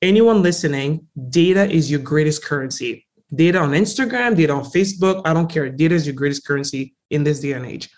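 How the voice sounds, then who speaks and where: A young woman from Congo, a native French speaker, talks as a guest in a relaxed interview. She leans hard on key words and tells her story with lively emphasis, sounding eager and direct. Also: low-pitched, brisk, few pauses. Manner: emphatic, animated, frequently stresses words. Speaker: guest entrepreneur.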